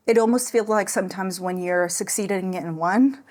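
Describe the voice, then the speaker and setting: A woman's voice: mid-range voice, normal speed, darkish tone. A woman with an American accent explaining things conversationally as an interview guest, in an informal way with plenty of "like" and "sort of". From United States. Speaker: therapist and relationship consultant, interview guest.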